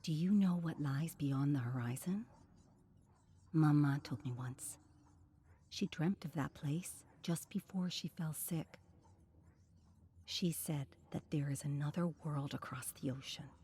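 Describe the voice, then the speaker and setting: A woman voicing a tender, desperate young woman in a story, gentle, wistful and half-whispered. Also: low-pitched, unhurried, pauses often, a little hiss or hum. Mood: hushed, wistful. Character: tender, desperate young woman comforting her frail brother.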